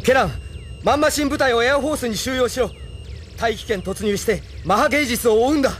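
A man's voice: very high voice, quick pace, a bit bright, a little hiss or hum. An actor playing an intense young male mecha pilot, dramatic and urgent, in an anime radio drama. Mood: urgent, resolute.

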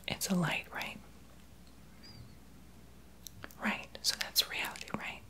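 A man's voice: normal speed, natural voice. A young man voicing a sassy, unqualified therapist in a whispered ASMR roleplay. He whispers blunt, sarcastic remarks close to the mic in a small home office. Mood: condescending. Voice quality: soft unvoiced whisper. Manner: blunt, sarcastic, gossipy sass. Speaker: ASMR creator.

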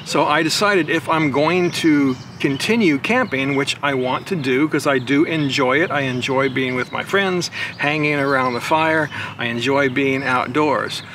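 A man's voice: mid-range voice, a little slow, hardly any pauses, a bit bright, slight background noise. An older man demonstrating his camping gear in a backyard, talking in a casual, step-by-step way that keeps circling back with "again" and "right". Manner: conversational, explains step by step with frequent "again" and "right". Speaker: motorcycle vlogger. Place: backyard lawn outdoors.

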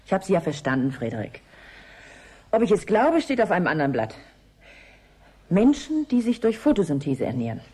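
Female radio-play role: blunt sceptic, low husky voice, dry questioning delivery. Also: very animated, a little fast, long pauses, warm tone. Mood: sceptical. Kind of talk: German radio play acting.

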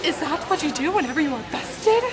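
A woman voicing a gentle but indignant medieval lady from a romance novel, in an earnest, melodramatic manner. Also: high voice, animated, brisk, loud music behind the voice, pitched above the performer's own voice. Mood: questioning. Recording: busy public space with loud crowd murmur.

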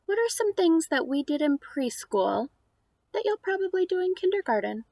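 A woman's voice: extremely high pitch, big pitch swings, normal speed, mellow, no echo. A woman in her thirties speaking as a preschool teacher to young children, in an exaggerated sing-song read-aloud delivery. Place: outdoors on a house porch.

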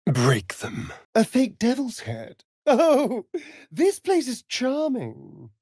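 An actor playing a vain, sardonic vampire rogue in a video game, with an arch, drawling, theatrical delivery. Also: male voice, extremely high pitch, huge pitch swings, takes it slowly, darkish tone, breathy edge, dry recording, clean studio sound, full character voice. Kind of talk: video game character acting.

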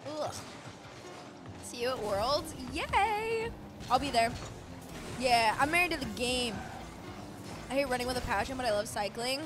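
A woman in her twenties chatting casually on a live stream, reading and answering chat with excitable bursts. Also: high voice, a little slow, pauses often. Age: 20s. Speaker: esports co-streamer. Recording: game music under the voice. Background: game music and car sounds.

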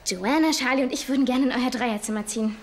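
A woman's voice: sits high, fast. A young actress playing a pushy teenage schoolgirl in a naturalistic TV drama. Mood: persuasive. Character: pushy, scheming teenage schoolgirl wanting a room swap. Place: school hallway, indoors.

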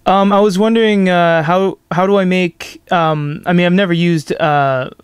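Male demo host, slightly high, clean smooth voice, easygoing and conversational, close dry sound. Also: fairly even intonation, moderate pace, no echo. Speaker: video host and reviewer. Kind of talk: casual chat, product demonstration.

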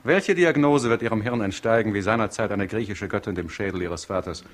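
An actor playing an ironic hospital doctor in a 1960s radio crime play, with flowery, mocking, theatrical speech. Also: male voice, on the low side, brisk, lightly acted. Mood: teasing, ironic. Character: witty, ironic hospital doctor teasing his pupil. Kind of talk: 1960s German-language radio crime drama acting.

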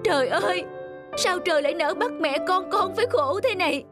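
An actor voicing a devoted, anguished young peasant son in an animated folk tale, earnest and pleading. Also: very high-pitched, a little fast, music in the background. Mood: despairing.

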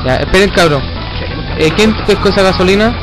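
A man with a Chilean accent chatting casually as he leads a team in an online game, bossy, sweary and excitable. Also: sits high, fairly quick, poor audio. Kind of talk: casual gaming chat. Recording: loud game music behind, online game session. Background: loud game music and effects.

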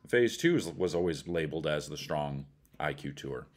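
A man's voice: rumbling low, lively intonation, moderate pace. A middle-aged man with an American accent gives a casual product review in a blunt, colloquial way. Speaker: bowling ball reviewer.

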